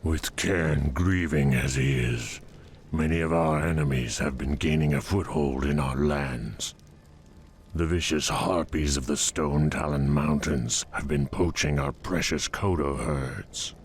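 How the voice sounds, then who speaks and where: A man's voice: rumbling low, a little slow, slight background noise. An actor playing a grave, earnest tauren tribesman in a fantasy game, speaking solemnly and formally. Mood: troubled. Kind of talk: fantasy game cutscene acting.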